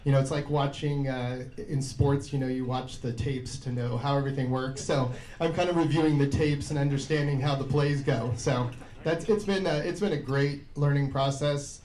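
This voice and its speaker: An American man giving informal, earnest answers on a panel in a halting way. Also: mid-range voice, fairly even intonation, darkish tone. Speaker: newly elected state senator, panelist. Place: indoor event room. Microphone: shared event microphone. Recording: echoey room, faint background noise.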